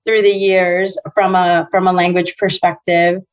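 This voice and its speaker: A woman's voice: fairly low pitch, level tone, measured pace. A woman in her forties from the Dominican Republic, a native Spanish speaker, talking thoughtfully as a guest in a casual interview. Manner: thoughtful, many fillers and restarts. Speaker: guest, corporate diversity executive.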